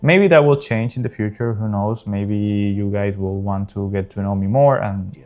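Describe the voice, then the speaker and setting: A man in his early thirties from South America, a native Spanish speaker with a South American Spanish accent, talking casually and earnestly over gameplay as host of his gaming channel, with frequent pauses. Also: on the low side, a little mellow. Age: early 30s.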